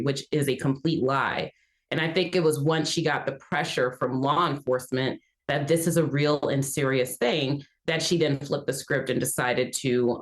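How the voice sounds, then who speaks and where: A woman giving assertive, articulate legal commentary as an analyst on a panel. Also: low voice. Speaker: legal analyst, defense perspective.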